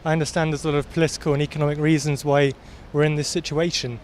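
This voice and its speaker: A man talks briskly and very fast in a fairly high voice, sounding mildly incredulous. A faint traffic hum carries from the street behind him. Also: flat delivery, darkish tone. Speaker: passer-by. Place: outdoors on a city street.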